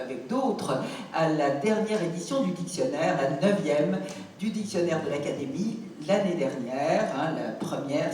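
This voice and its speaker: A woman moderating a formal stage interview, speaking in an expressive, emphatic way. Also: low voice. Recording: echoey hall, distant mic, faint noise.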